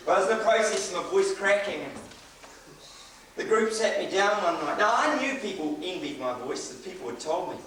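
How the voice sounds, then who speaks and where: A man's voice: fairly high pitch, a bit bright, distant mic, a little hiss or hum. A middle-aged man, preaching a sermon and telling a personal story with chuckles. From New Zealand. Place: church hall. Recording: echoey hall recorded from afar.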